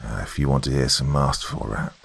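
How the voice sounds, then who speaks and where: A man's voice: a bass voice, fairly even intonation, brisk, gravelly, husky, dry recording, pitched below the performer's own voice, exaggerated voice. A man voicing a short, stocky, confident Indian machine gunner, easygoing and boastful, in a military story.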